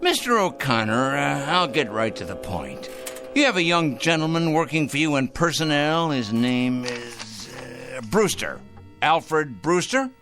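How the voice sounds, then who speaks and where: A man's voice: fairly high pitch, big pitch swings, unhurried, music in the background. An actor in a radio drama playing a fussy, self-righteous male fanatic who speaks in a prim, formal, emphatic way. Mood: officious, secretive.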